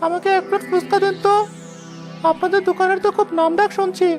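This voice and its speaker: A woman as a very high-pitched young food blogger. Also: flat delivery, quick pace, over music. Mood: friendly. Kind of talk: cartoon story dubbing.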